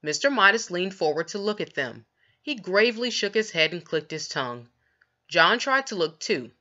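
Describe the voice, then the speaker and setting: A woman reads a children's story aloud, leaning deliberately on key words. Her voice is fairly low, bright and lively in its rise and fall. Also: muffled recording. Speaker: children's book narrator. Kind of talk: expressive read-aloud storytelling.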